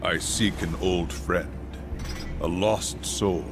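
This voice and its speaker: An actor playing a loyal angel soldier who speaks in a formal, earnest and courteous way in a video game cutscene. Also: male voice, deep voice, fairly even intonation, takes it slowly, music in the background. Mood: solemn. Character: loyal male angel warrior, solemn soldier.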